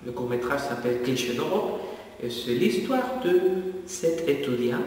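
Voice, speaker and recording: A young man speaks at a middle pitch and keeps pausing as he looks for the right word. His voice rings around a large, reverberant hall. The microphone sits well away from him. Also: lively intonation. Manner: hesitant, pauses often to search for words. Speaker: international master's student. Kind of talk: documentary interview. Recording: echoey hall, mic far away.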